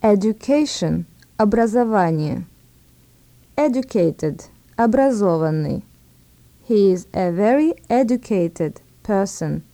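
A woman narrating a scripted language lesson as the instructor, giving words and phrases one by one with measured repetition. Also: mid-range voice, animated, warm tone, no echo. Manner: measured, word-by-word delivery with repetitions.

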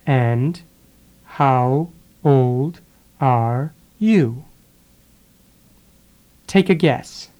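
A speaker drilling English phrases for learners, saying each sentence and then repeating it one word at a time with pauses. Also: medium pitch, lively intonation, warm tone. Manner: deliberate, pausing after each word. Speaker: English teacher.

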